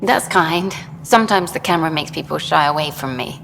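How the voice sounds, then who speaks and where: An actress playing an eager, idealistic documentary journalist in a video game, brisk and frank. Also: female voice, deep voice, vocal fry, a bit bright. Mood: friendly, persuasive. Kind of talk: video game dialogue acting. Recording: long hall reverb, faint noise.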